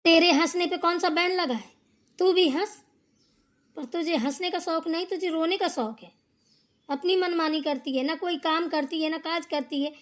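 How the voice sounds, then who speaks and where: A woman's voice: very high-pitched, moderate pace. A woman voicing a domineering older mother-in-law in a family cartoon, scolding and nagging with sarcastic questions. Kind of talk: amateur Hindi family cartoon dialogue.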